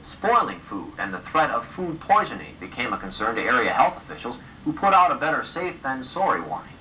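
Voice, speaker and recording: A man's voice: medium pitch, big pitch swings, brisk, pushed and bright. A man presenting the news, reading scripted broadcast narration. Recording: old home videotape of TV news, distant, hissy.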